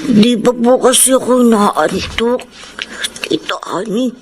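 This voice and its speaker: A woman's voice: on the high side, unhurried, background noise, close to an everyday voice. A woman playing a sad, polite little boy in a Filipino radio drama, plaintive and timid. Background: music.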